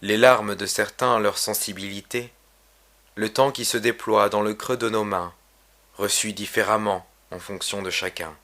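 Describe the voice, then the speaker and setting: A man reading his own poem aloud in a solemn, measured, literary recitation. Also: lowish voice, steady tone, creaky, a bit bright. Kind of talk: poetry recitation.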